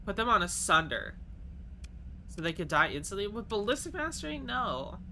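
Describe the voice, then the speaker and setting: A man's voice: sits high, sing-song, moderate pace, vocal fry, bright, forceful tone, a little hiss or hum. A man with a stuffy, congested nasal voice, rambling casually as he plays a game on stream and answers chat. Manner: chatty, rambling, thinks aloud and answers chat. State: just woke up. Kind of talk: casual live game commentary.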